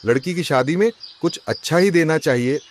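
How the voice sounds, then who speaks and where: A man playing an agitated, suspicious rich merchant husband in a cartoon, loud and complaining. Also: a little high, normal speed, a little hiss or hum, natural voice. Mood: casual, agreeable. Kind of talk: Hindi cartoon story voice acting.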